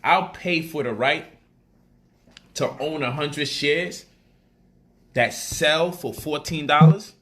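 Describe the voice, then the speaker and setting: Animated, slangy man with a bright voice. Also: on the high side, slow pace, long pauses. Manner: animated, slangy, repeats phrases for emphasis. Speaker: speaker explaining stock options to viewers. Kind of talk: casual livestream talk.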